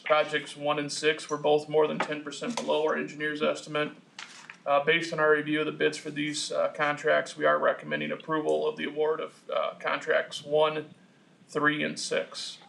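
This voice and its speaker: A man presents a formal meeting report in a slightly high, gravelly voice. His intonation is extremely flat, and his delivery is measured, halting and businesslike. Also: low-quality recording. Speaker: project engineer presenting to a commission.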